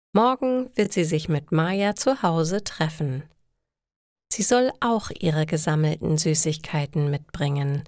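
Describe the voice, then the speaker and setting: A woman reading scripted stories slowly and carefully for language learners. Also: low voice, no echo, studio quality. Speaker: storyteller for German learners.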